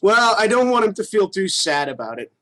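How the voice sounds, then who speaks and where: A man's voice: high voice, big pitch swings, a little fast, bright, forceful tone. A man co-hosting a casual gaming show, rambling loosely with crude, teasing swearing. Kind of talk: casual chat.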